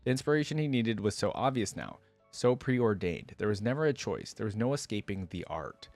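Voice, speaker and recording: A man's voice: lowish voice, animated, fairly quick, a little mellow, faint music behind. A man hosting a reaction video, informal in manner, moving between reading a story aloud and casual commentary.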